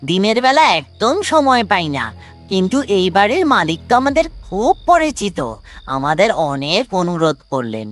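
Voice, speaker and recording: A woman's voice: medium pitch, natural voice. A woman voicing a sly male thief who poses as a humble mason in a cartoon story, theatrical and wheedling. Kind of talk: dramatic animated moral story.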